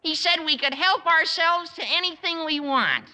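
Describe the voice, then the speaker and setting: Breathless teen boy, chummy, very high bright voice, old radio echo. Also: animated, normal speed, heavily acted. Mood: eager. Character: eager fast-talking teenage schoolboy. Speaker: student. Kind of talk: 1950s radio sitcom acting. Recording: old radio broadcast with long reverb.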